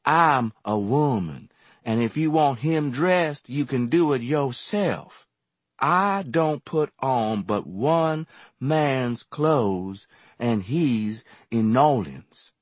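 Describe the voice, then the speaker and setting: A man's voice: medium pitch, expressive, drawn-out words, no echo, pitched above the performer's own voice. A man voicing a sulky woman who drawls her lines.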